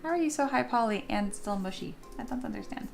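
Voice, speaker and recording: A woman's voice: fairly high pitch, animated, fast, gravelly, soft background music. Sleepy, slightly scratchy-voiced woman teaching casually. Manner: informal, chatty, talks to herself and viewers. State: sleepy, voice not yet warmed up. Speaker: 3D sculpting teacher and livestream host. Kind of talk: casual livestream tutorial, thinking aloud while working.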